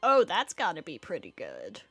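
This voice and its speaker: A woman's voice: lowish voice, huge pitch swings, moderate pace, creaky, a bit bright, breathy edge, dry recording, faint music behind. A woman livestreaming a game, reacting casually in an informal, playful, wry way. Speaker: video game streamer.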